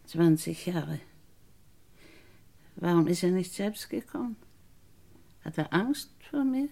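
A woman's voice: fairly low pitch, animated, takes it slowly, vocal fry, dark tone, full character voice. A woman playing a guarded, embittered middle-aged woman in a German radio crime play, terse and solemn. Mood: guarded, bitter.

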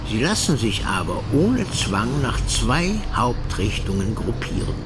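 A man giving a measured, formal reading of an old scholarly text. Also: lowish voice, very animated, background noise. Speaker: reader of quoted text. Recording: music behind the reading.

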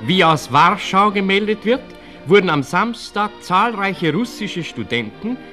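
An actor playing a declamatory reader of old newspaper reports and notices, clipped, in a 1960s radio play. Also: male voice, high-pitched, expressive, unhurried, sharp tone, faint music behind, full character voice. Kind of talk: 1960s radio drama narration, read-out news.

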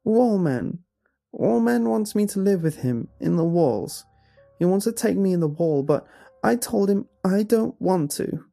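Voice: mid-range voice, lively intonation, a little slow, gravelly, dark tone, faint music behind, pitched above the performer's own voice. A man voicing a frightened, tearful young boy in a narrated horror story. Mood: upset, pleading.

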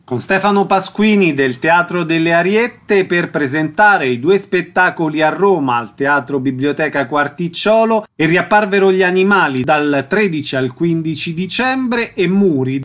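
A man's voice: a little high, animated, normal speed, few pauses, a bit bright. A man presenting a radio culture segment and putting questions to a guest as the interviewer.

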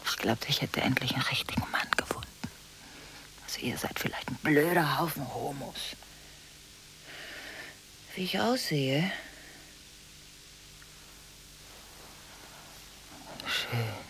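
An actress playing a volatile, emotionally contradictory married woman making frantic phone calls in a radio drama, swinging abruptly between hushed and agitated delivery. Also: low-pitched, vocal fry, exaggerated voice, rough recording. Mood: scornful, then seductive, conspiratorial. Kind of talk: 1980s German radio drama monologue.

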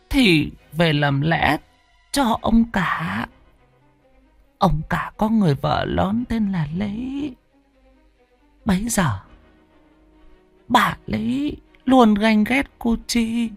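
A man's voice: high-pitched, gravelly, no echo, pitched above the performer's own voice, full character voice. A man voicing a frail, trembling old woman. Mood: mournful. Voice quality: weak, trembling, stammering. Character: frail old woman lottery seller, tearful.

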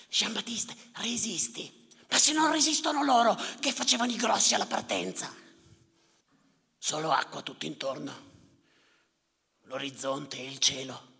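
An actor playing a bitter, sardonic storyteller of sea tragedies in a theatrical monologue, declamatory and repetitive, with a gravelly voice. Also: male voice, high-pitched, heavily acted. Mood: urgent. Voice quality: gravelly, creaky. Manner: declamatory, repetitive, swinging from muttering to shouting. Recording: reverberant theatre with live music behind. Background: live music.

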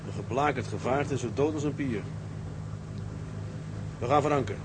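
An actor playing a sober, level-headed tugboat skipper in a Dutch radio drama, measured and understated. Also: male voice, medium pitch, lightly acted. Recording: clear background noise, ship ambience.

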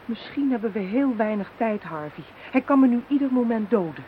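A woman's voice: a little high, expressive, brisk, natural voice. A woman acting a passionate, defiant lover facing danger in a radio drama, with intense, earnest delivery. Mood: pleading. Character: passionate, doomed young woman in love, defiant. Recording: thin, phone-like radio recording with hiss.

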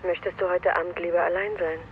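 A woman's voice: fairly low pitch, little pitch movement, quick pace, slight background noise. An actress playing a guarded professional woman who talks evasively and challengingly over a telephone in a radio drama. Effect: telephone filter. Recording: thin tinny phone line.

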